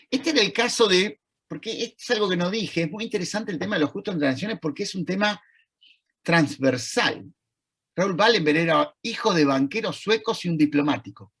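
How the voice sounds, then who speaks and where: Man, Argentine accent, gravelly, fairly high voice, video-call lecture, thoughtful pauses. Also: fairly bright tone. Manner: thoughtful, conversational, pauses to search for words. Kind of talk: online talk to an audience.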